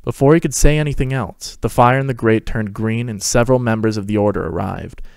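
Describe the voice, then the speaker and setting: A man's voice: medium pitch, brisk, creaky, darkish tone, clean tone, no echo, clean studio sound. A man hosting and narrating a dramatic reading of a fan fiction story.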